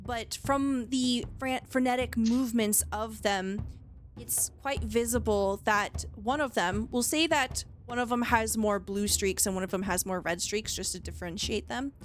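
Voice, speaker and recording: High-voiced woman narrating as game master. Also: a little hiss or hum. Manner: descriptive, measured narration with light laughter.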